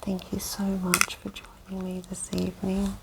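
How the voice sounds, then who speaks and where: A woman whispering softly as she reads a children's picture book aloud for an ASMR session. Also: medium pitch, completely flat delivery, moderate pace, gravelly, heavy background noise. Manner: soft gentle whisper. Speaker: host. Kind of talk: ASMR whispered storybook reading.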